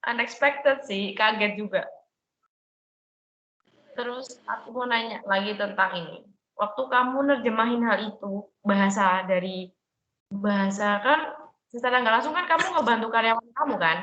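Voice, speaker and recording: A woman's voice: fairly high pitch, normal speed, long pauses, fairly bright tone, a little hiss or hum. A young woman interviewing someone with chatty, curious questions. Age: young adult. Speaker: interviewer, student. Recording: dull, echoey room sound.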